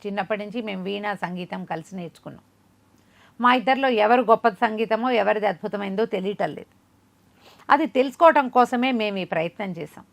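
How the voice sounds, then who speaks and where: A woman's voice: mid-range voice, fairly quick, gravelly, lightly acted. A woman storyteller voicing an earnest, courteous young woman musician who speaks politely and respectfully in a folk tale.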